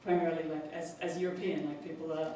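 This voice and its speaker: An Australian woman speaking at a panel discussion, halting often with fillers and restarts. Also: low-pitched, level tone, dark tone. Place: large public hall. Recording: echoey hall, distant mic, faint noise.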